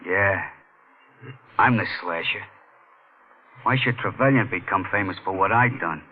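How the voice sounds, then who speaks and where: Low-voiced bitter madman killer. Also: a little slow. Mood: bitter, sullen. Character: bitter insane escaped killer, confessing. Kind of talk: 1940s radio acting. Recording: muffled old radio recording.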